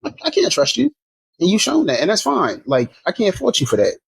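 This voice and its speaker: Podcast guest from Maryland, slightly high husky voice, blunt and profane, talks in loops. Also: male voice, wildly swooping pitch, brisk. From Maryland, USA. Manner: frank, profane, rambling with many restarts. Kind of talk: casual podcast chat.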